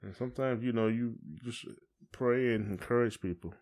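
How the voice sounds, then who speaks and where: A man's voice: medium pitch, fairly even intonation, moderate pace, a little mellow. An American man co-hosting a podcast, talking in a casual, informal and colloquial way. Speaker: podcast co-host. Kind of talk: casual conversational chat.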